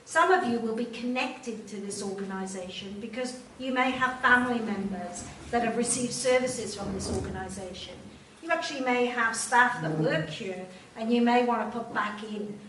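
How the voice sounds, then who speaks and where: A Welsh woman addresses a gathering from a distance, her fairly high voice carrying around an echoey room with a faint hum of ambience behind. She talks informally to the crowd, dotting her speech with ums and friendly asides. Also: moderate pace. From Wales. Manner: warm, informal speaking with many ums and direct questions to the audience. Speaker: chief executive giving a speech. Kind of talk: speech to an audience at an event. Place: large room at a volunteer event.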